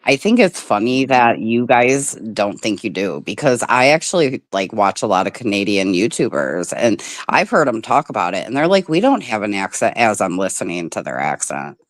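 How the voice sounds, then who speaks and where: A woman's voice: a bass voice, big pitch swings, moderate pace, hardly any pauses, no echo. A woman from the Midwest of the United States hosting a casual livestream chat, talking loosely, swearing freely and laughing often. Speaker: livestream host.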